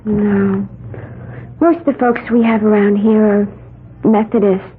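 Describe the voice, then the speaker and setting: An actress playing a dreamy, eccentric young woman in an old radio drama, musing earnestly and gently. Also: mid-range voice, fairly even intonation, unhurried, narrow, tinny audio, natural voice. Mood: gentle, wistful. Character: dreamy, eccentric young woman, self-styled priestess of the elements. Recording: thin, band-limited old radio recording with hiss.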